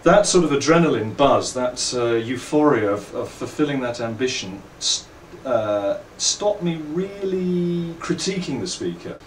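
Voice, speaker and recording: A middle-aged man with an English accent, giving reflective, articulate interview answers in measured phrases and telling anecdotes as a company owner and designer. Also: medium pitch, expressive. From England. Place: indoors at a trade show. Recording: roomy, distant mic, faint background noise.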